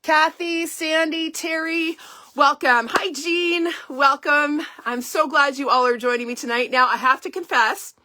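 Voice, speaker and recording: Woman, middle-aged, live-stream host, bright tone, slightly hoarse, stuffy nose, chatty, upbeat. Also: sits high, steady tone, a little slow. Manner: chatty, upbeat, frequent laughs and ums. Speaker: independent stamping demonstrator hosting a live launch. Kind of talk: casual live-stream chat.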